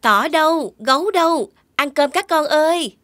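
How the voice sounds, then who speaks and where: A woman voicing a gently strict mother rabbit in a children's toy cartoon. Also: high voice, expressive, normal speed, background noise. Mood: calling. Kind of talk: children's toy animation dialogue.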